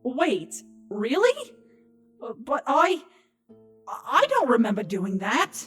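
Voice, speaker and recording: A woman voicing a young changeling spy, cocky, excitable and defensive, pleading her case in a comic fantasy audio drama. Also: high voice, slow, faint music behind, heavily acted. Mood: sheepish. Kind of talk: dramatic comedy fan fiction reading.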